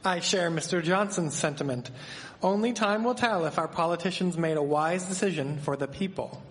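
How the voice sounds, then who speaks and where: A man's voice: on the high side, moderate pace, natural voice. Guy playing folksy old-timey husband, polite, stage radio play, echoey hall. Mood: courteous. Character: courteous, patronizing husband, townsman in 1873. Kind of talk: live radio drama acting.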